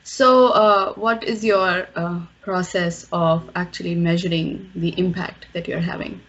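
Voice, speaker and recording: A woman's voice: on the low side, fairly even intonation, a little slow. Hesitant woman interviewer, muffled sound. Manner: hesitant, searching for phrasing. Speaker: interviewer asking questions. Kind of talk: unscripted interview question. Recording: dull lo-fi audio.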